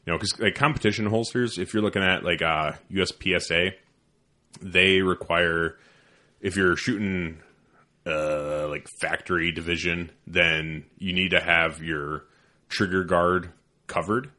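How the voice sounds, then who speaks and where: A man with a deep voice that mumbles a little, chatting casually as host and swearing freely. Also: fairly even intonation, measured pace, sharp tone. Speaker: podcast host. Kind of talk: casual chat.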